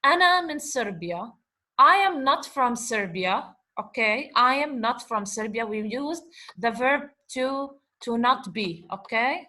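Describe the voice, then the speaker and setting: A woman teaching an Arabic lesson over slides, explaining slowly and asking questions she then answers herself. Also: fairly high pitch, lively intonation, sharp tone. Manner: switches between English and Arabic words. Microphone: computer mic, voice-over on slides.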